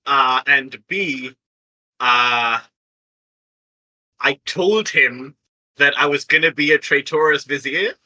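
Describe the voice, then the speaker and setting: Bright, sharp-voiced man thinking aloud at a game table. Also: mid-range voice, takes it slowly, long pauses, low-quality recording. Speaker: player in a tabletop roleplaying game. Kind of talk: casual game-table talk.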